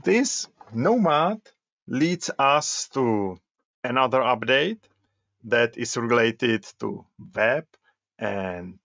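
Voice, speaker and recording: Male presenter, slow and halting, dry dull webinar audio. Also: medium pitch, lively intonation, gravelly, fairly bright tone, dry recording. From Czech Republic. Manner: halting, repeats words while demonstrating. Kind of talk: software demo walkthrough.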